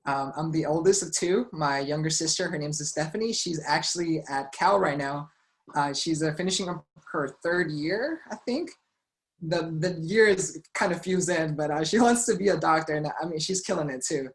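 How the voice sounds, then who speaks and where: A man from Los Angeles, casually introducing himself as co-presenter on a video call, relaxed and chatty with light laughs. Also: fairly high pitch, moderate pace. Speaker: webinar co-presenter.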